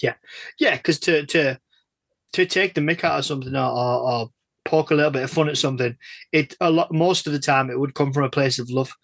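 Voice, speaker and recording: A man's voice: medium pitch, fairly quick, creaky, fairly bright tone, dry recording. A man with a British accent interviewing casually, wordy and tentative, repeating words as he builds his questions. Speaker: podcast interviewer, stand-in host.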